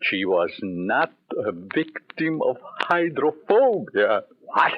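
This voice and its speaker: An actor playing a jealous, anguished doctor husband in a melodramatic, intense old-time radio drama. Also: male voice, mid-range voice, very animated, slow, husky, exaggerated voice. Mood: remorseful. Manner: theatrical, intense. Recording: muffled narrow-band old radio, faint noise, clicks.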